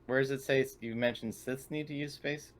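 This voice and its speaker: A man takes part in a tabletop roleplaying game as a player. He talks casually, in a voice of middle pitch. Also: fairly even intonation, a little fast. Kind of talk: casual game-session chat.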